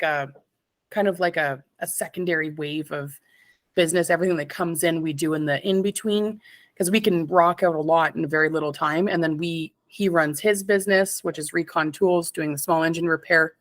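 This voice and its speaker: A woman's voice: on the low side, no echo. A woman in her thirties from Canada, chatting casually as an interview guest, rambling and laughing often. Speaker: interview guest, stone fabricator. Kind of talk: casual chat.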